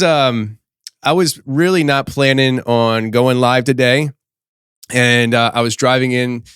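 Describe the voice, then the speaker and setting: A man in his thirties hosting a live podcast stream, chatting casually and rambling with lots of fillers, his voice a bit hoarse from losing it. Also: mid-range voice, fairly bright tone, dry recording, studio quality. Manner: rambling, talkative, many fillers and restarts. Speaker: podcast host. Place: office studio.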